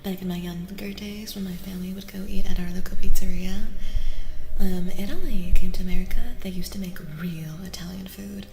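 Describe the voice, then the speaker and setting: A woman speaking softly and gently in casual ASMR style as a streamer reading tarot, often dropping to a whisper, close to the microphone. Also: mid-range voice, steady tone, brisk, background noise. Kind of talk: soft-spoken ASMR, casual live chat. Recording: reverberant echo with music behind. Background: music.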